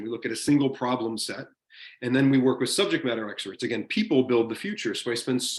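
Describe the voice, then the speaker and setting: A man giving an informal seminar talk over a video call, lively and enthusiastic, often restarting his sentences. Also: mid-range voice, echoey room. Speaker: guest speaker in a university seminar.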